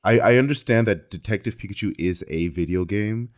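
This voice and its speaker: A man co-hosting a podcast, talking casually about films in a measured, inquisitive way as he feels out his points. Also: lowish voice. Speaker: podcast co-host. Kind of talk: casual film discussion chat.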